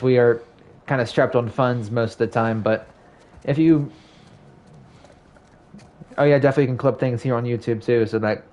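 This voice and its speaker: A man's voice: medium pitch, a little mellow. A young man chatting casually on a live game stream, his voice slightly scratchy. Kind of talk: casual live stream chat over gameplay.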